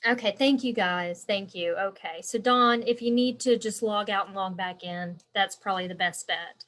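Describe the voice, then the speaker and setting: A woman with a congested, stuffy voice hosts a relaxed live stream as an embroidery instructor. She talks in a chatty, rambling way and answers viewers by name. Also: medium pitch, normal speed.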